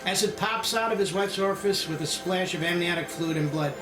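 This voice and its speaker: A man's voice: high-pitched, a little fast, noisy background. A man narrating a screenplay at a table read with a dramatic storytelling delivery. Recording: echoey room with background music.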